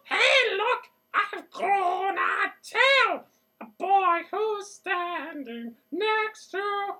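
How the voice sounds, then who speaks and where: Shrill, gleeful child-hating witch. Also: sharp tone, heavily acted. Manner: drawn-out, relishing, exclamatory delivery. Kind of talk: theatrical rhyming verse recitation.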